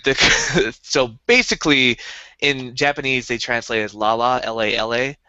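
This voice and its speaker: Bright-voiced young man chatting eagerly. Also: mid-range voice, moderate pace, clear, resonant tone, no room sound, muffled recording. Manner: rambling, eager explainer with many restarts. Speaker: podcast co-host. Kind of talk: casual chat.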